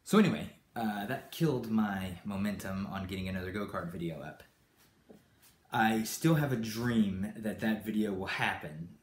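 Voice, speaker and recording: Brief: man with a lowish voice, raised in Brazil, chatty stream host who loses his thread, echoey room. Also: normal speed. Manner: rambling, with many fillers and restarts. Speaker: channel host. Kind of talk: live-stream chat answering viewers.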